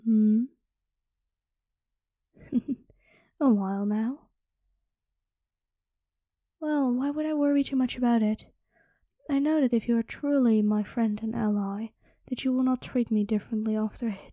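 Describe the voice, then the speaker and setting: A woman voicing a gentle, lovestruck dragon queen in an ASMR roleplay, speaking softly and intimately with formal courtly phrasing, often slipping into whispers, close on the microphone. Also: a little high, unhurried, long pauses, no echo, natural voice. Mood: wistful, resigned. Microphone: close microphone, dry sound.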